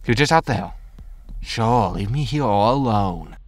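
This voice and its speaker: A man playing a greedy, hapless spaceship scavenger who mutters excitably to himself in a sci-fi comedy audio drama. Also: fairly low pitch, unhurried, noisy background. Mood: frustrated. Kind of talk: science fiction comedy audio drama acting.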